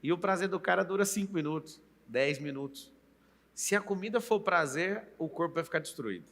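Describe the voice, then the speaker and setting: A Brazilian host in his late thirties who coaches with emphasis and often speaks straight to the audience. His voice is slightly high and strongly bright, with a forceful edge. A long reverb trails behind it in the room. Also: brisk. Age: late 30s. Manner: emphatic, coaching, addresses the viewer directly. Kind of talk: motivational interview talk.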